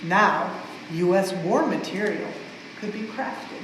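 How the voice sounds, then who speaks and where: A woman reads a storybook aloud for children with expressive, measured emphasis on the rhymes. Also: on the low side. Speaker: museum staff member reading a story aloud. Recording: very echoey large hall, some noise.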